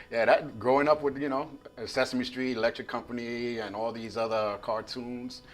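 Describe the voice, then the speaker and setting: A man's voice: mid-range voice, measured pace. Easygoing male talk-show host. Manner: easygoing, laughs often, casual phrasing. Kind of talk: relaxed interview hosting.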